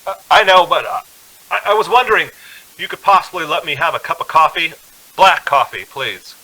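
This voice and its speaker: A man playing a haunted, increasingly desperate driver in a radio drama, brooding and halting as he tells his story. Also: fairly high pitch, pushed and bright, poor audio. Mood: anxious pleading. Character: haunted, increasingly desperate male motorist narrating his journey. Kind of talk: dramatic radio play narration. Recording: music behind the voice.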